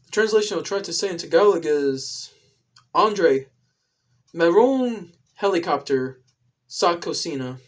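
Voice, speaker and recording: A casual online video creator speaks very slowly. The delivery is halting and deliberate, wandering through explanations in a rambling way. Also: mid-range voice, lively intonation.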